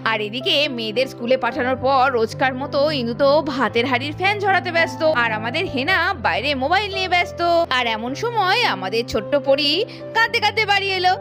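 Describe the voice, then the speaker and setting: A woman narrating a cartoon story in an animated, dramatic way, with music underneath. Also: high-pitched. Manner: scolding, quick and dramatic. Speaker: narrator and voice of several characters.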